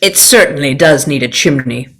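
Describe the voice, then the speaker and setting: A woman's voice: deep voice, normal speed, fairly bright tone. A woman playing a pompous, incredulous young boy in an audio play.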